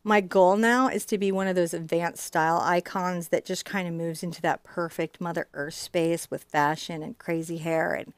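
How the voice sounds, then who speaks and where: A woman's voice: fairly low pitch, fairly even intonation, moderate pace, hardly any pauses, clear, resonant tone, no echo. Female painting host, middle-aged, chatty and informal, prone to wandering asides, closely recorded with no room sound. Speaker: host teaching a live painting class. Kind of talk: casual chat and painting demonstration. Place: indoor art studio.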